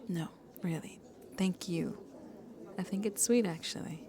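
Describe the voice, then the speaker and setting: A woman playing a shy, sweet vampire on a first date in an ASMR roleplay, soft-spoken and hesitant, often dropping to a whisper. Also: lowish voice, measured pace. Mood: shy, warm. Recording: crowd chatter in the background. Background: crowd chatter.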